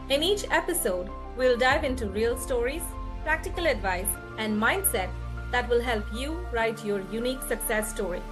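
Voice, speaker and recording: A woman from India hosting a podcast, with scripted introductions and interview questions. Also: fairly high pitch. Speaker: podcast host.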